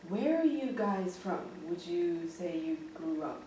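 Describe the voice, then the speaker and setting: Low-voiced young woman in an echoey room. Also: expressive, unhurried, warm tone, slight background noise. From grew up in Japan. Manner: chatty, giggly, many fillers. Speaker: host. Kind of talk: casual campus interview. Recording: echoey room, mic at a distance.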